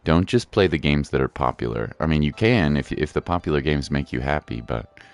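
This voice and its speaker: A man chatting casually and encouragingly as he hosts a game livestream, using relaxed slang. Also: a bass voice, a little fast, gravelly, dry recording. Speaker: game streamer and host.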